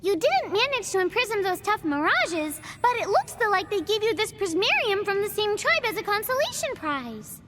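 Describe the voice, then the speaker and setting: A woman's voice: very high-pitched, sing-song, moderate pace, few pauses, background noise. A woman voicing a tiny, chirpy female creature guide who garbles her words with stray little extras. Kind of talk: video game voice acting. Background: game music.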